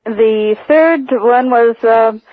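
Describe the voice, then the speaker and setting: An older female caller with a fairly high voice tells personal stories, halting as she goes. She comes over a narrow phone line, dry and close with no room sound. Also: dry recording. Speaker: radio show caller.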